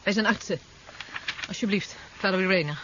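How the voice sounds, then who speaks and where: A woman playing a determined, brisk doctor in a 1970s radio drama, with a firm, insistent manner. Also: mid-range voice, natural voice. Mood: firm, urgent. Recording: muffled 1973 radio recording, loud noise.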